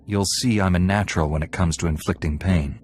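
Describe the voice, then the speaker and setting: An actor playing a hot-tempered, vengeful Jedi warrior with a blunt, assertive manner in a game. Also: male voice, low voice, fairly quick, a little mellow, a little hiss or hum, natural voice. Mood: cocky, menacing.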